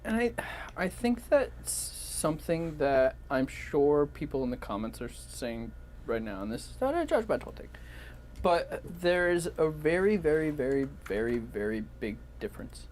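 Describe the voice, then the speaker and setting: A woman's voice: low-pitched, very animated, normal speed, a little mellow. A woman chatting casually on a podcast in a blunt way, repeating words for emphasis. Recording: noticeable background noise.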